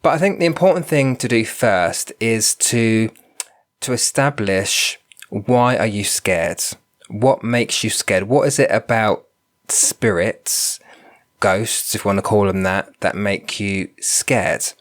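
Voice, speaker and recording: A man's voice: on the low side, slow. Congested nasal man with a cold. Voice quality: nasal, bunged up. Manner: thoughtful, repeats words while thinking. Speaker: podcast host, spiritual medium. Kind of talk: solo podcast talk.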